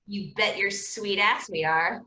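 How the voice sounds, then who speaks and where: A woman's voice: medium pitch, a little slow, bright, forceful tone. A woman acting a bossy, sassy, foul-mouthed heroine in a staged play reading, recorded in an echoing room. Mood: wry, determined. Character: brash, foul-mouthed, tough woman hero rallying her partner.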